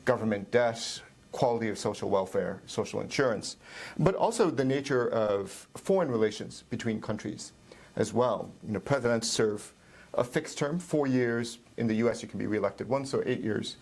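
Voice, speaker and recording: A middle-aged man from Ireland, a professor answering interview questions in a measured, explanatory way with frequent pauses and ums. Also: mid-range voice, darkish tone.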